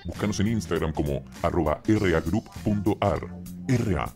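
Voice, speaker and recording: Deep male ad voice. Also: moderate pace, music in the background. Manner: steady listing sales read. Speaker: ad voice-over. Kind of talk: commercial voice-over.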